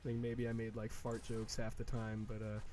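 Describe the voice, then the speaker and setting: A man's voice: fairly low pitch, monotone, darkish tone. A man in his early twenties chatting casually while playing a game, rambling with many fillers, restarts and swearing. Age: early 20s. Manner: slow, drawn-out mock whining in quoted asides. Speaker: gaming video creator.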